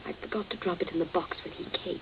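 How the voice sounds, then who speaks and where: A woman's voice: lowish voice, steady tone, fast, whispered, natural voice. An actress playing a fragile, distraught grown daughter in a radio drama, faltering and pleading as she answers. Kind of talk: old radio drama melodrama. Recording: tinny, noisy old radio recording.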